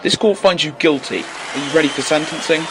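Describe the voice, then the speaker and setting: A man plays a merciless court judge in an audio drama. His voice is fairly high, formal and declamatory, over clearly noisy ambience. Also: a little fast, crowd noise, lightly acted. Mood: stern. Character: stern, merciless male judge of an alien court.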